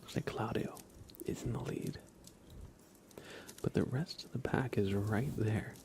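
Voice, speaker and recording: A man hosting an ASMR livestream, whispering softly into a close microphone as he chats casually to viewers and comments on a race. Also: fairly low pitch, vocal fry, a little hiss or hum. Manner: soft whispering, unhurried chat to viewers. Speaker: livestream host. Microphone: close sensitive microphone.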